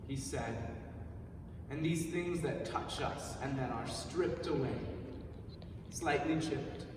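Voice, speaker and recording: A man performs a poetic monologue live. His delivery is very slow, measured and incantatory, with a mid-range pitch. The sound is distant and noisy, washed in heavy echo. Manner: measured, deliberate, incantatory recitation with repeated phrases. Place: large reverberant museum space, live, distant mic. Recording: distant, noisy, very echoey museum hall.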